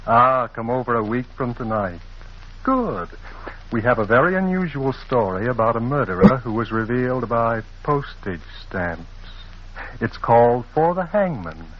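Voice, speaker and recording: An actor playing a courteous librarian who hosts a radio mystery club in a measured, theatrical way, on a muffled old recording. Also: male voice, mid-range voice. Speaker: host and announcer. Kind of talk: 1940s radio mystery hosting.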